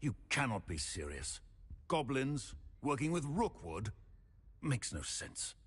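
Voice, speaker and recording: Slow, husky, incredulous official. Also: male voice, mid-range voice, sing-song, full character voice. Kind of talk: video game dialogue acting. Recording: long hall reverb.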